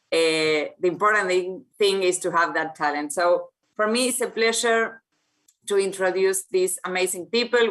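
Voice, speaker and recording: Brief: woman, moderating a panel, halting and filler-heavy. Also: on the low side, fairly bright tone. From Argentina. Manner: hesitant, searching for words, many fillers.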